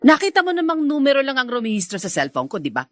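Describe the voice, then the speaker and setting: An actress playing a defiant, manipulative wife in a Filipino radio drama, haughty and domineering, with a Filipino accent. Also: female voice, high-pitched, huge pitch swings, fast talker, a bit bright, dry recording, studio quality, exaggerated voice. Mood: defiant, irritated. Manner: haughty, scolding.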